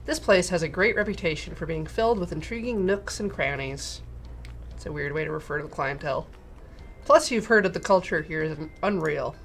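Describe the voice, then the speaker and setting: Woman, lowish pitch, game stream co-host, casual remarks and read-alouds, faint music behind. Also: expressive, a little fast.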